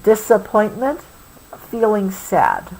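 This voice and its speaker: A woman reading a children's bedtime story aloud as a parent would, with expressive storybook narration. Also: medium pitch, slow pace, a little hiss or hum, low-quality recording. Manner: expressive, explains words to child.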